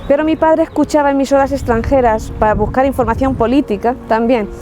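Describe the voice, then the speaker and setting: Earnest Spanish woman from La Mancha, mellow. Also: high voice, steady tone, a little fast, a little hiss or hum. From Spain, Ciudad Real. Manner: earnest and reflective, with frequent restarts. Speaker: testimony speaker. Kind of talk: personal faith testimony.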